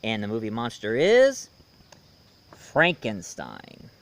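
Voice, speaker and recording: A man's voice: fairly low pitch, huge pitch swings, takes it slowly. A man giving a casual, rambling film review, speaking emphatically and opinionatedly straight to his viewers. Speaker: reviewer, host.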